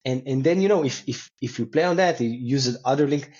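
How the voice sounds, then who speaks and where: A man who speaks as a talks in a conversational interview style at a middle pitch. He halts and often repeats words and phrases as he explains. The recording is dull and lo-fi. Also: brisk, darkish tone. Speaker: company co-founder and CEO, interview guest. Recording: dull, lo-fi call audio.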